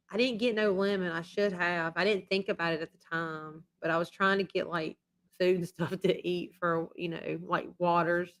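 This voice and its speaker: A woman's voice: fairly low pitch, fairly bright tone. A woman chatting casually on a live stream as the channel's host, rambling and repeating herself while losing her voice after being sick. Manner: rambling and repetitive.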